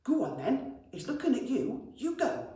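A woman's voice: fairly high pitch, big pitch swings, moderate pace, dark tone, far from the mic, voice pushed up. A woman voicing a bold, bossy male goblin with a pushy, sputtering way of talking, from a children's storybook. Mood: urgent. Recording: very echoey classroom.

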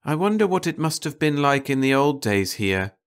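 A man's voice: fairly high pitch, big pitch swings, normal speed, smooth, pitched above the performer's own voice. A man voicing a tired, fussy, wistful woman traveller in an audiobook story, with a weary, complaining and vague manner. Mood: dreamy. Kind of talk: audiobook character dialogue.

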